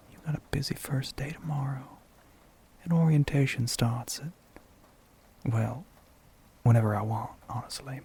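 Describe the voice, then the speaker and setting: A man voicing a flirty, sly, gentlemanly demon with a Southern US accent in an ASMR roleplay, half-whispered, breathy and husky, with a teasing drawl. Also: mid-range voice, slight background noise, natural voice. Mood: tender, teasing. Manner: slow, teasing drawl with pet names.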